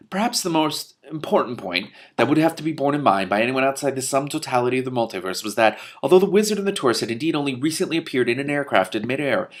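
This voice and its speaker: A man reads a comic fantasy novel aloud in a middle-pitched voice. He trips over words and restarts lines, then bursts out in loud, disbelieving swearing before going back to the text. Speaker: reader of a novel with commentary. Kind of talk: reading a novel aloud with reactions.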